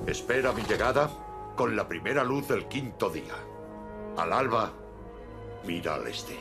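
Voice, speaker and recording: An actor playing a solemn, commanding old wizard in a dubbed film scene, speaking with a grave, measured delivery and a gravelly voice. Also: male voice, on the low side, sing-song, over music, exaggerated voice.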